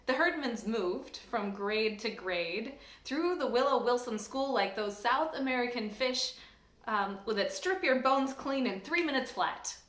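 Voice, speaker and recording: A woman from a church staff reading a children's book aloud in an expressive, lively storytelling manner. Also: a little high. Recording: roomy echo.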